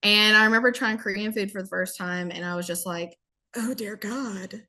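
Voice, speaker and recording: Bright lively Southern woman. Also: medium pitch, normal speed. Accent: Southern American. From American South. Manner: lively storyteller, acts out quotes, laughs often. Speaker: guest, former English teacher in Korea. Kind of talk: casual podcast conversation.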